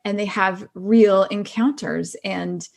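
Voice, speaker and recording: A woman's voice: medium pitch, fairly even intonation, slow pace, creaky, darkish tone. A woman speaking as a podcast guest in casual chat, earnest and agreeable, repeating phrases for emphasis.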